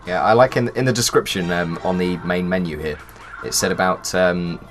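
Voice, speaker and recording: Deep-voiced joking male gaming co-host. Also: moderate pace, faint music behind. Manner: informal, joking, teasing. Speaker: let's play co-host. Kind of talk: casual chat over gameplay.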